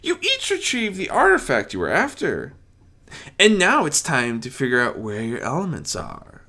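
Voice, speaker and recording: Chaos spirit, a man acting: male trickster, dry menace, pitch swinging wildly, storybook gamemaster. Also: huge pitch swings, moderate pace, pushed and bright, heavily acted. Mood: taunting.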